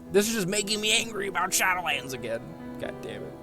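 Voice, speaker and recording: A man's voice: high voice, very animated, fast talker. Exasperated male game streamer over music. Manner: exasperated, sweary. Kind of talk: casual gameplay commentary, ranting.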